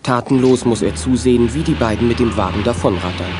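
A man's voice: medium pitch, a little fast, over music. A man narrating a children's audio drama as a scripted storyteller.

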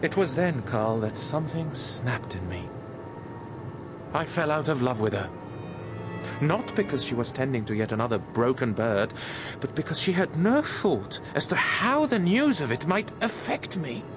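A man's voice: mid-range voice, big pitch swings, normal speed, over music, lightly acted. An actor playing an urbane man who tells his story dramatically and articulately in a radio drama. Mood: wistful, bitter. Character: Sebastian, urbane English man recounting a lost love. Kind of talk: radio drama narration and dialogue.

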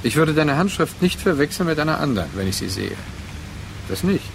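An actor in a radio drama playing a brooding, wounded man haunted by a former lover, terse, deliberate and reflective. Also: fairly high pitch, sing-song, vocal fry, slight background noise. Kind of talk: introspective radio drama monologue and dialogue.

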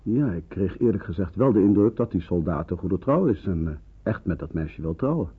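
A man's voice: sits low, expressive, husky, heavily acted. A man acting a courteous, thoughtful village pastor in a Dutch wartime radio drama. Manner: measured, polite, thoughtful. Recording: muffled, band-limited old radio recording.

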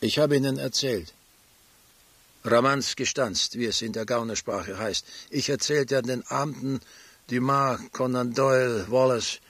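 An actor playing a quiet, educated labour-camp prisoner with a husky voice and a wistful storyteller's manner. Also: male voice, medium pitch, fairly even intonation, measured pace, no room sound. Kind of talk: radio play dialogue.

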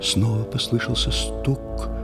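A man's voice: medium pitch, fairly even intonation, slow, vocal fry, over music. A man giving suspenseful scripted verse narration in an audio play.

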